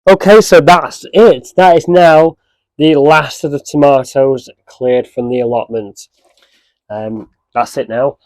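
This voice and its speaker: A man with a cold and a slightly croaky voice, chatting casually in a gardening vlog. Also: mid-range voice, slow, soft background music. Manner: chatty, relaxed, with many fillers. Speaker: allotment vlogger. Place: outdoors at an allotment.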